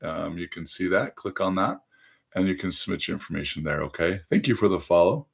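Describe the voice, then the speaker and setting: Male host, low voice, slightly hoarse, chatty direct-to-viewer pitch on a phone stream. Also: fairly quick. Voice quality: conversational, addresses viewers directly, frequent fillers. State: voice going hoarse, under the weather. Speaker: livestream host. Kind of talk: casual sales pitch on a phone livestream.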